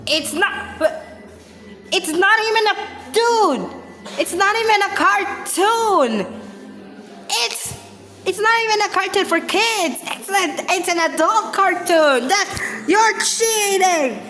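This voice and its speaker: Woman reaction host, bright high voice, blunt and sweary, casual commentary. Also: expressive, takes it slowly. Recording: echoey room with reverb, faint noise.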